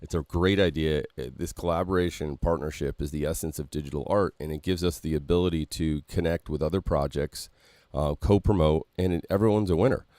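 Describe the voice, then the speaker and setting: A man's voice: extremely low pitch, fairly quick, no echo. A man hosting a podcast over a call, talking casually with lots of fillers and the odd swear word. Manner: animated, swearing, many fillers. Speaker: podcast host. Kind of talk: casual chat.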